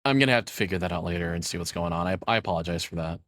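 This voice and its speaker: A man's voice: sits low, rapid-fire, a bit bright, dry recording, clean studio sound. A man chatting casually as a livestreamer, rambling and swearing in an informal way. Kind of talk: casual reaction stream chat.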